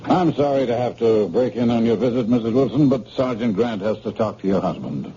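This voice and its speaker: An actor playing a polite, resourceful hospital doctor, on a dull old radio recording. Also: male voice, medium pitch, animated, a little fast, warm tone, slight background noise, natural voice. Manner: polite, thoughtful.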